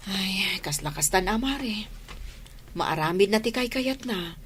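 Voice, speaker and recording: A woman's voice: mid-range voice, animated, unhurried, a bit bright, slight background noise. A woman with a Filipino accent playing a humble, struggling village mother in an Ilocano comedy radio drama. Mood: resigned.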